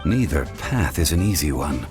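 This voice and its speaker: An actor playing a calm, wise, dignified gentleman in a video game, speaking in a measured, formal manner. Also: a bass voice. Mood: grave. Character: calm, wise, dignified gentleman who has seen it all. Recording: music behind the voice. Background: game music.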